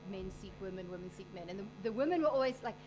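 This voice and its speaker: A woman's voice: mid-range voice, expressive, rapid-fire, darkish tone, slight background noise. A woman in her forties hosting a casual chat show, telling stories with brash, joking asides. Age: 40s. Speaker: host.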